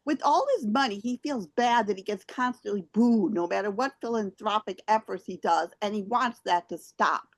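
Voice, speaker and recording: A woman hosts and reads her prepared theater reviews aloud. Her voice sits at an average pitch for a woman. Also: normal speed, hardly any pauses. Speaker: theater reviewer and show host. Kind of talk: scripted review read aloud.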